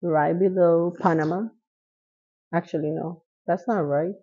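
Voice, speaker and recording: A woman's voice: fairly low pitch, steady tone, a little slow, mellow, highs cut off. A woman in her thirties from Costa Rica, a podcast guest chatting casually from a living-room couch, laughing often and trailing off. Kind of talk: casual interview.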